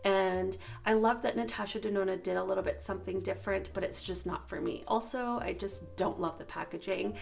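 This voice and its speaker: A woman with a noticeably creaky, mid-pitched voice talks casually to her viewers like a beauty vlogger. She sounds slightly congested and sniffly, and soft music plays behind her. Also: steady tone, a little fast, hardly any pauses. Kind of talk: casual chatty eyeshadow swatch review.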